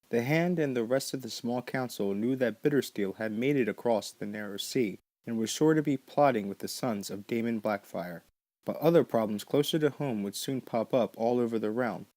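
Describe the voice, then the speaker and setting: A man's voice: medium pitch, a little mellow, no room sound. A man hosting a podcast, reading scripted narration. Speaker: podcast host.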